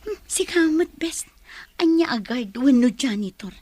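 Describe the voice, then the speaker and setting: A woman's voice: sits high, lively intonation, a little slow, a little mellow, no room sound. An actress playing a giggly young woman who teases her best friend. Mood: teasing. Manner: teasing, giggling.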